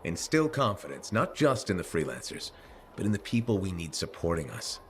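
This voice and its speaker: A low-pitched, very animated male freelancer pilot from a video game. He talks casually, with a dry, loyal, easygoing streak. A little ambient noise sits behind him. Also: a little fast. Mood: friendly, reassuring. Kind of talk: video game dialogue acting.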